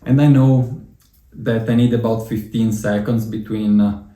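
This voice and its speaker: A man with an Italian accent hosting a tea tasting, talking in a relaxed, chatty way with frequent fillers. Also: on the low side, unhurried, reverberant. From Italy. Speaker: tea channel host.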